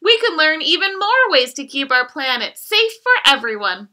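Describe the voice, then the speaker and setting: A woman reading the lines of a cheerful, caring little girl in a gentle, sing-song storybook way. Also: high-pitched, very animated, normal speed, exaggerated voice.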